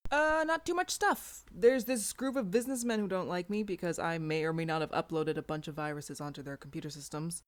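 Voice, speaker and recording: A woman's voice: on the low side, brisk, hardly any pauses, close to an everyday voice. A woman voicing an earnest, awkward eighteen-year-old female superhero in an audiobook, a little hesitant.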